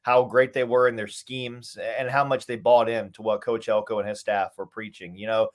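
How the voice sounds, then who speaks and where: A man's voice: on the low side, flat delivery, normal speed. A man who works as a sports announcer, giving casual, emphatic analysis as an interview guest.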